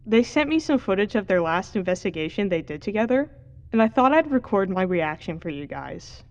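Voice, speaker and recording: A woman's voice: mid-range voice, sing-song, a little fast, dull audio, slight background noise. A young woman playing a reluctant, plain-spoken video creator, talking casually to camera in an audio drama. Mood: low-key, explanatory. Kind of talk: vlog-style talk to camera in audio drama.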